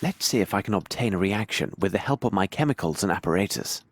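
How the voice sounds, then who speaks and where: A low-voiced, imperious British detective. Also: male voice, animated, no echo, studio quality. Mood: analytical, intrigued. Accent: British English. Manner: precise, formal, deliberate, theatrical. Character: shrewd, imperious gentleman detective, adult man. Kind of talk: dramatic video game voice acting.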